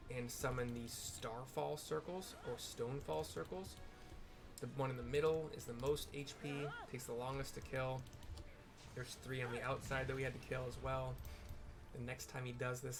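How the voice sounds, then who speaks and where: A man giving casual, rambling gameplay commentary, explaining and describing what happens as he plays. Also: mid-range voice. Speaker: video game streamer and narrator.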